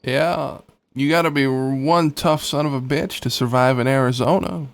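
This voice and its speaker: A man acting as a tough-talking Western sidekick. He is dry and blunt, with a mid-pitched voice that crackles with gravel. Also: steady tone, normal speed, lightly acted. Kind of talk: improvised roleplay dialogue.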